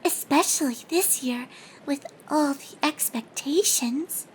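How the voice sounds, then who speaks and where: A timid, gentle female cartoon pegasus pony. The voice is high and soft, with slow, hesitant delivery. Noticeable noise sits behind it. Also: poor audio. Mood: relieved, bashful. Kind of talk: character voice in fan fiction reading.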